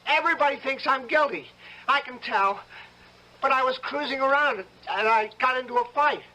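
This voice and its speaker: An actor playing a desperate young defendant, stammering and pleading, in old-time radio drama style. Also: male voice, extremely high pitch, normal speed, pushed and bright, full character voice, rough recording.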